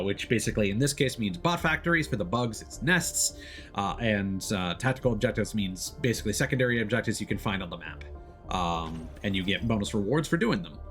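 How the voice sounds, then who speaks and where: Low-voiced male game streamer explaining things. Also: a bit bright. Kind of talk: casual gameplay commentary, explaining mechanics.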